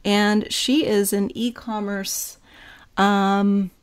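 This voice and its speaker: A woman's voice: mid-range voice, flat delivery, drawn-out words, smooth. Chatty upbeat woman podcast host. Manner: chatty, upbeat, laughs often. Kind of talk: casual podcast chat.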